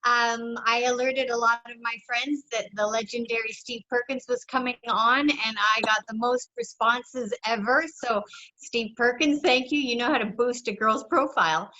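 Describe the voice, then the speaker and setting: Bright-voiced woman co-host, lo-fi audio. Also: a little high, steady tone, moderate pace. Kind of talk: show introduction and chat. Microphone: video call. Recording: dull lo-fi video call sound.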